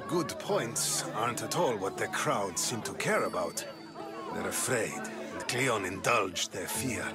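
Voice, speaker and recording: An actor playing a weary, dignified Athenian statesman in a game, speaking in a formal, wry, measured manner. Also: on the low side, lively intonation, over loud music, over a noisy crowd. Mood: weary, wary.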